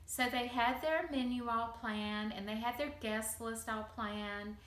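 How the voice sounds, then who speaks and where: A woman telling a children's story in simple, expressive phrases for young listeners. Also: a little high, a little slow. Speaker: preschool teacher telling a bedtime story. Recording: echoey room.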